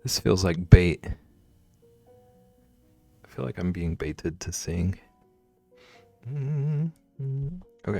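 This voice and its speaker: Gravelly, low-voiced man chatting on stream. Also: darkish tone, no echo. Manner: playful, jokey and chatty. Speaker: livestreamer. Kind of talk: casual chat with viewers while drawing.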